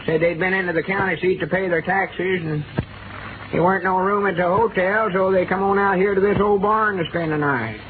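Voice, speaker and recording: Actor as high-voiced country man, tinny old radio. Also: brisk, natural voice. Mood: neighborly. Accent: rural Southern American. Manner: folksy, homespun country talk. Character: kindly rural old-timers trudging through snow on charity errand. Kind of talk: 1940s radio acting. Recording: tinny, noisy old radio broadcast recording.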